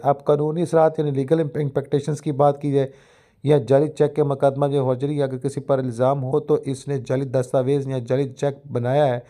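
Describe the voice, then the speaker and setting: Mellow Pakistani man giving a legal lecture. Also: mid-range voice. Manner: formal, didactic, mixes in English legal terms. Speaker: law lecturer. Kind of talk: explanatory legal lecture, part read from notes.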